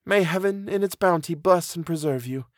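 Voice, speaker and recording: A man voicing a gentle condemned young woman in an audiobook, mournful, resigned and pious, speaking with earnest tenderness in formal old-fashioned phrases. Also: high voice, normal speed, no room sound, clean studio sound, pitched above the performer's own voice. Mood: tender, sorrowful. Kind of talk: dramatic audiobook character reading.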